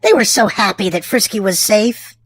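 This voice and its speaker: A woman hosting and narrating a children's storybook aloud, with theatrical, drawn-out emphasis for small children. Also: mid-range voice, breathy edge. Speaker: children's storybook reader and channel host. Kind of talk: expressive read-aloud narration for young children.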